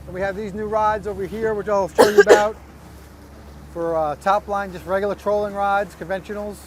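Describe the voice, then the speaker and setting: A man's voice: sits high, expressive, moderate pace, noisy background. A man co-hosting a fishing vlog outdoors on a boat, explaining his gear in a laid-back, step-by-step way. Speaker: fishing partner, co-host. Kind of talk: casual explaining while rigging gear.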